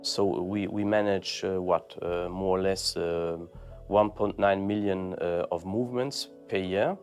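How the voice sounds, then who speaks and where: Man, deep pitch, heavy vocal fry, interview answer, halting, hesitant word-searching, quiet music behind. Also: steady tone. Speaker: air navigation official being interviewed. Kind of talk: unscripted interview explanation. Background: background music.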